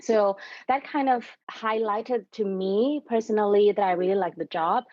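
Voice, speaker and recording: A young woman from Thailand, a podcast guest, telling her career story in a measured, thoughtful way. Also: medium pitch, darkish tone, no echo, muffled recording. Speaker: podcast guest, venture capital investor. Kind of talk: conversational interview.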